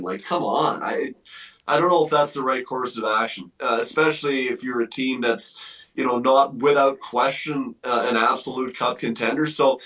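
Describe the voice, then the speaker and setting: A man's voice: mid-range voice, bright, forceful tone, recorded from a distance, low-quality recording. A man giving emphatic sports commentary as a guest analyst, thinking aloud and repeating phrases as he builds his point.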